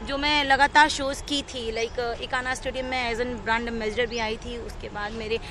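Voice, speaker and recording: A woman's voice: on the high side, flat delivery, normal speed, noisy background. A woman giving an unscripted, earnest and detailed personal account as an interviewee. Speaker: interviewee telling her own account.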